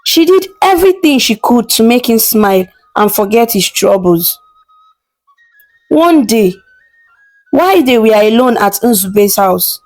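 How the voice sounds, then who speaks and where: A woman with a somewhat high-pitched voice tells a scripted folktale. Her storytelling is measured and expressive, with faint music playing behind her. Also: low-quality recording.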